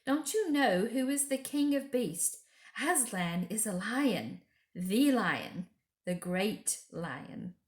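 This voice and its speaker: A woman's voice: mid-range voice, sing-song, slow pace, pitched above the performer's own voice. An earnest storybook beaver, acted out by a woman, a male creature who talks in a folksy, emphatic way full of reverence. The room echoes around the voice. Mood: emphatic, reverent. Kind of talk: children's storybook character voice.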